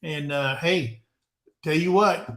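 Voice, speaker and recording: A man's voice: fairly high pitch, takes it slowly, a bit bright. A man in his sixties co-hosting a casual livestream chat, telling rambling stories with jokes. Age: 60s. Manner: joking, rambling storyteller. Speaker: co-host of a livestream chat show. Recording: echoey room.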